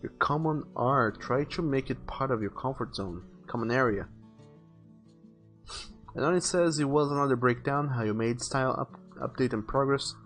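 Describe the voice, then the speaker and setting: A man's voice: medium pitch, normal speed, a bit bright. A man hosting a casual art livestream, talking to viewers in an earnest, conversational way. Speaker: livestreaming artist and host. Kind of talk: casual livestream chat, reading viewer messages aloud and giving advice. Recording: music behind the voice. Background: faint background music.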